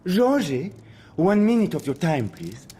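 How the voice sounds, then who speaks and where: A man playing a gossipy, overfamiliar, insistent neighbour with a husky voice in an audio drama. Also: sits high, very animated, measured pace, dark tone, full character voice. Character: gossipy, insistent, familiar male apartment neighbour.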